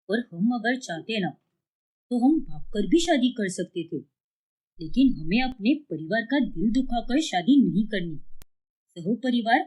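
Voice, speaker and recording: A woman's voice: mid-range voice, darkish tone, husky, voice pushed down, rough recording. A woman voicing a meek, earnest young man in love, pleading in a cartoon story. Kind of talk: cartoon moral story dialogue.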